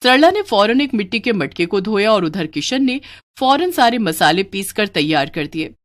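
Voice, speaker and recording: A woman's voice: medium pitch, sing-song, moderate pace. A woman reading scripted narration for a moral story as its storyteller.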